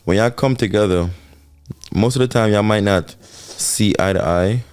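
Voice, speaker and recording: A man's voice: lowish voice, normal speed, a little mellow, clean tone. A man from Jamaica with a Jamaican accent, co-hosting a couple's podcast and reflecting earnestly on relationships. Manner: earnest, reflective, repeats himself for emphasis. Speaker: podcast co-host. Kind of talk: casual couple podcast talk.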